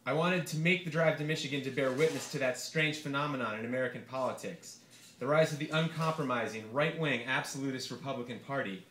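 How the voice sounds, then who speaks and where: A man's voice: medium pitch, pushed and bright, a little hiss or hum. Man reading aloud in an echoey room. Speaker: author reading own essay. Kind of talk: live literary reading of satirical essay. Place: bar reading event before an audience. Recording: roomy echo, live bar reading.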